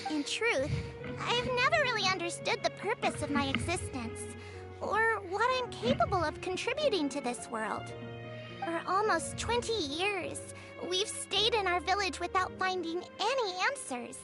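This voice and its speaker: A woman's voice: very high-pitched, big pitch swings, normal speed. Carol, a sweet little creature girl. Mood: earnest. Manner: sweet, eager, soft-spoken. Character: small earnest creature girl, kind and hopeful. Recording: game audio with loud background music.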